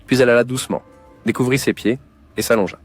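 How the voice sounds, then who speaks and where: A man's voice: mid-range voice, fast talker, slightly rough, faint music behind. A man narrating a scripted Bible story.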